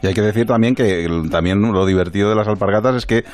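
A man's voice: on the low side, quick pace, a little mellow. A man with an accent from Spain hosting a radio interview in a chatty, anecdotal, conversational way. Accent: Spanish, from Spain. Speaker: radio show host.

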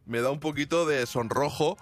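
A man's voice: a little high, normal speed, dry recording, soft background music. Stammering man recalling a trip. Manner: hesitant, stammering with many restarts. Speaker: podcast co-host. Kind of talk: casual chat.

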